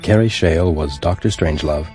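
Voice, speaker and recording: A man's voice: very deep voice, a little fast, darkish tone. A man reading a scripted credits announcement as a radio announcer. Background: music.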